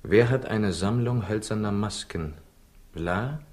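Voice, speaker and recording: An actor playing a skeptical, alert investigator with a dry, matter-of-fact manner in a 1960s German radio drama. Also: male voice, lowish voice, slow, a little mellow.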